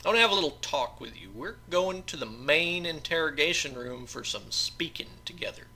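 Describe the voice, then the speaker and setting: A man voicing a pious, intimidating small-town sheriff in an audiobook, stern and deliberate in manner. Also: on the high side, lively intonation, creaky, pushed and bright, a little hiss or hum, pitched above the performer's own voice, heavily acted.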